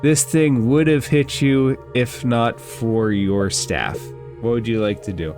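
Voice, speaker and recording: A man's voice: medium pitch, takes it slowly, a little mellow. Man running a tabletop game over music. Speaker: game master. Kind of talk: narrating and refereeing a tabletop role-playing game.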